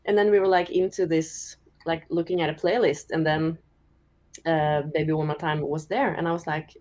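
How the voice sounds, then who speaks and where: A young woman from Norway with a deep voice, chatting casually as a singer-songwriter in an interview, full of "like" fillers. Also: moderate pace. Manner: relaxed, chatty, many "like" fillers, giggles often.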